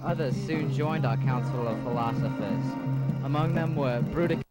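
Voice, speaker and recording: A man's voice: mid-range voice, normal speed, natural voice. A man playing a grandiose philosopher spirit, narrating solemnly in an amateur afterlife audio drama. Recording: old cassette with loud music underneath.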